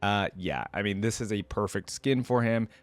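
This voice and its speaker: A man's voice: fairly low pitch, normal speed. A man hosting a reaction video, giving casual off-the-cuff commentary in colloquial language as he thinks aloud.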